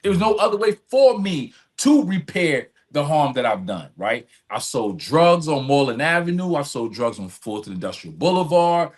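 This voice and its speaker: Male interview guest, voice a little high, speaks in earnest, emphatic, repetitive phrases. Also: big pitch swings, moderate pace, few pauses. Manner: earnest, emphatic, repeats phrases, often checks with "right?". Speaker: podcast guest, community service advocate. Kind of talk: reflective personal storytelling in conversation.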